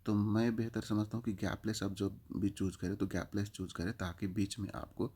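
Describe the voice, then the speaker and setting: A man's voice: fairly low pitch, steady tone, a little fast, dark tone, slightly rough. A man giving an informal step-by-step app walkthrough, explaining with examples and repeating his instructions. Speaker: app tutorial presenter.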